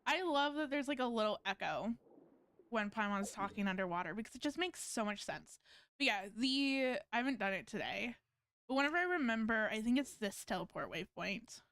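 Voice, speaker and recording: A woman's voice: on the high side, lively intonation, a little fast, fairly bright tone, dry recording. A woman giving casual live-stream commentary on a game, rambling and thinking aloud as she plays.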